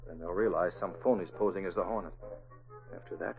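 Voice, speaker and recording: An actor playing a composed young newspaper publisher in a 1940s radio drama, crisp, polite and authoritative. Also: male voice, sits low, fast talker, music in the background. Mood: low, resolute. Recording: muffled old radio recording.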